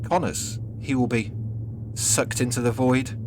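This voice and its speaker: A man's voice: mid-range voice, unhurried, voice pushed up, lightly acted. A man plays a villain's nervous woman assistant. She is hesitant and deferential, speaking in an audio story with noticeable noise behind the voice. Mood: anxious.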